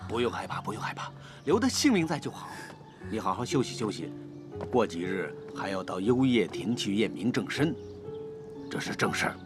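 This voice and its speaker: An actor playing a calculating, fatherly duke nearing fifty in a Chinese period drama, speaking with measured authority. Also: lowish voice, very animated, over music. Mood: soothing, commanding. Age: middle-aged.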